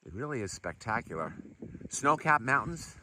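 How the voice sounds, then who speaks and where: A man's voice: medium pitch, big pitch swings, normal speed, sharp tone, a little hiss or hum, low-quality recording. A man narrating a travel vlog outdoors by a lake, upbeat and enthusiastic as he walks and points things out. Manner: upbeat, enthusiastic touring commentary. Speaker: travel vlogger. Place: outdoors at a desert lake shore.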